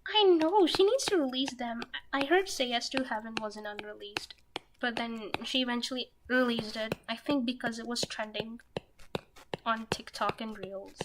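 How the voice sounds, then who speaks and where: A young woman streaming herself while drawing, talking softly and half-whispering, a little nervous, with pen scratching heard behind her. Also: on the high side, moderate pace. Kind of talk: casual live-stream chat while drawing. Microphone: desk microphone that picks up pen scratching. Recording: noisy home stream recording.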